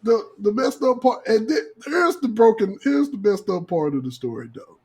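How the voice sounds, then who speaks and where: Man, American, high voice, swooping intonation, slightly husky, casual profane storyteller, podcast host. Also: normal speed. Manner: animated, profane, slangy, acts out quoted exchanges. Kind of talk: casual comic storytelling among friends.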